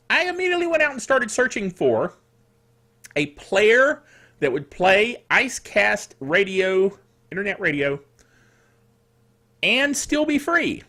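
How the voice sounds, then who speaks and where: A man hosting a casual tech news show in a chatty, jokey, digressive way, with a throat that is getting rough. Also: high voice, sing-song, measured pace, long pauses, sharp tone. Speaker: technical director for radio stations. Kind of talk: casual scripted tech news commentary with asides.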